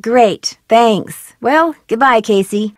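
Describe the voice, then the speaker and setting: A woman's voice: fairly high pitch, slow pace, dry recording, clean studio sound. A young woman playing a polite, inquisitive detective in a game. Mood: curious. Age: young adult. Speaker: player character in a game.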